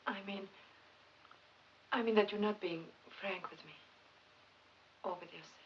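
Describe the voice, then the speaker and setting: A woman's voice: medium pitch, unhurried, natural voice. A woman acting an earnest, caring scientist in a 1950s film drama. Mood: gentle, probing. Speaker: film actress. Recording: dull, hissy vintage film soundtrack.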